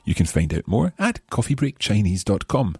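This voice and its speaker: A man's voice: lowish voice, big pitch swings, moderate pace, dark tone. A man with a Scottish accent hosting a language lesson podcast as the learner, presenting in a conversational, explanatory way. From Scotland.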